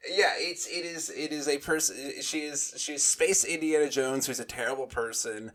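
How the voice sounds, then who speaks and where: A man's voice: fairly high pitch, moderate pace, fairly bright tone. A man co-hosting a casual book discussion, often rephrasing himself mid-sentence. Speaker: podcast co-host.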